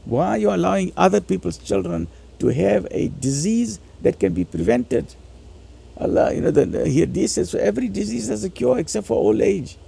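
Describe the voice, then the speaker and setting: Guy doing an informal religious talk, really mellow dark tone but raspy, a bit higher pitched, drives points home by repeating. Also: huge pitch swings, moderate pace. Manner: didactic, emphatic, conversational with repeated phrases. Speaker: religious lecturer and doctor. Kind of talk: informal religious lecture with life lessons.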